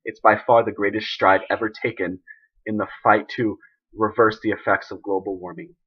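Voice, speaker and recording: A man playing an embattled, idealistic president who gives an emphatic, deliberate, indignant speech in a dramatic monologue. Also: lowish voice, fairly even intonation, breathy edge, muffled recording. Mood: resolute.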